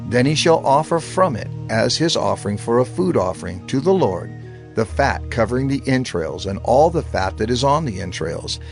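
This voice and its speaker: A male narrator with a gravelly edge reads scripture in a steady, reverent way. His pitch moves expressively through each phrase. Music plays clearly underneath the narration. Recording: narration over background music.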